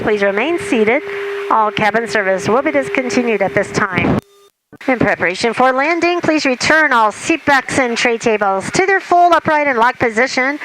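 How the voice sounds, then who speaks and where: A female flight attendant reads a scripted cabin announcement in a high-pitched voice. It comes over the aircraft PA with a faint engine hum.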